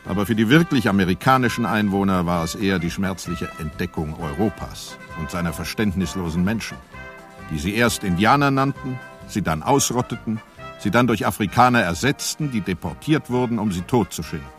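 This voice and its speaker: Deep-voiced man narrating over music. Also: fairly bright tone. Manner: measured storytelling with dry irony. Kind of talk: scripted radio play narration.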